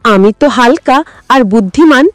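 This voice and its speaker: A woman's voice: on the high side, lively intonation, unhurried, no room sound, clean studio sound, pitched above the performer's own voice. A woman voicing a clever, nimble goat in a children's cartoon story, friendly, reassuring and self-assured.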